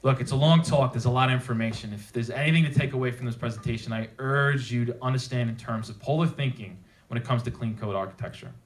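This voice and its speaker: A man with a mid-pitched voice presents as a conference speaker. He talks informally and drives his points home with emphasis, often posing rhetorical questions to his audience. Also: fast, hardly any pauses, poor audio. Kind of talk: technical presentation to a live audience. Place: theater at a developer meetup.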